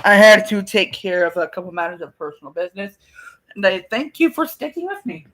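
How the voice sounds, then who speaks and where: A woman's voice: mid-range voice, sing-song, a little fast, a bit bright, poor audio. A woman hosting a live stream with casual commentary, speaking in a polite, apologetic way.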